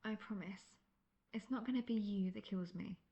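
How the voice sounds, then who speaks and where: Casting: actress as veteran female monster hunter, wry and self-assured, creaky mid-pitched voice, fantasy audio roleplay. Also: moderate pace, lo-fi sound, close to an everyday voice. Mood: cocky. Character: seasoned, cocky, world-weary female monster hunter, friendly mentor.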